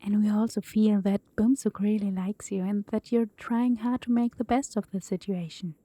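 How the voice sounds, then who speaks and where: A caring young woman who leads her group, acted by a woman in an audio drama. Her voice is very mellow and warm, tactful in manner, and recorded dry. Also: mid-range voice, steady tone, normal speed, no echo, lightly acted. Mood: gentle, reassuring. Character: caring, gentle young female leader of a group.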